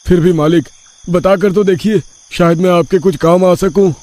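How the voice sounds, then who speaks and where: Man doing a high-voiced, meek servant. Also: steady tone, normal speed, warm tone, natural voice. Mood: deferential concern. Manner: meek, deferential pleading. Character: troubled, humble, servile homeless burnt man seeking shelter. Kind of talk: horror cartoon story acting.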